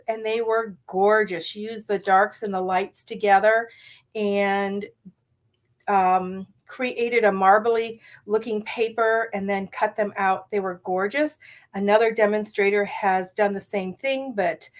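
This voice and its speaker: A middle-aged woman with a slightly raspy morning voice leads a casual live card-making tutorial, chatty and enthusiastic with many ums. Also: mid-range voice.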